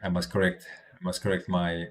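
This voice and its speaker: A man speaking English as a second language, giving casual livestream commentary on market charts, halting with many restarts and a habitual "right?" and soft chuckles between points. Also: low-pitched, completely flat delivery. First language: not English. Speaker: crypto trading streamer.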